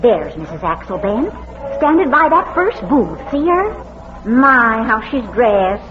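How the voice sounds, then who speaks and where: A woman's voice: a little high, very animated, slow, over music. A woman acting in an old radio drama as a chatty, homespun small-town gossip. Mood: chatty, gossipy. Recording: muffled, very noisy vintage radio recording.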